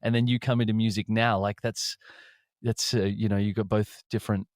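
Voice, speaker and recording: A man's voice: fairly low pitch, flat delivery, fairly quick, no echo, clean studio sound. A man interviewing two musicians in a casual, rambling, enthusiastic way.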